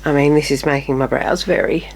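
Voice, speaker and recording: A woman in her fifties with a fairly low voice that is still a little nasal, talking through her makeup routine. She chats casually and drops into quiet, murmured asides while she works. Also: brisk, gravelly, a little hiss or hum. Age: 50s. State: slightly nasal, recovering from illness. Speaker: beauty vlogger. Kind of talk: casual makeup tutorial chat.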